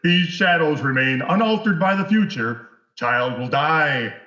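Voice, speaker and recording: Solemn, stern spirit played by a man for a Christmas tale, high-pitched, roomy dull recording. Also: animated, a little slow, bright, forceful tone. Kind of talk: dramatic reading of a Victorian Christmas story. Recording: echoey, dull video-call audio.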